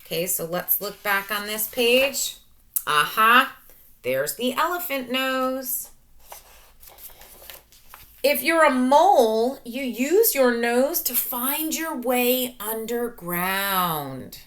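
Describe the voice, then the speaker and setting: A woman teacher reading a picture book aloud to young children, talking through each step with expressive, sing-song questions. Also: on the high side, slow pace. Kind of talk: children's read-aloud lesson.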